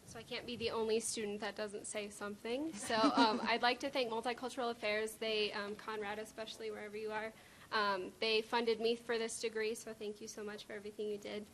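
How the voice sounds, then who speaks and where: A woman's voice: on the high side, little pitch movement, a little fast, a bit bright, a little hiss or hum. A woman graduate giving a casual, slightly shy thank-you speech at a ceremony. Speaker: counseling graduate.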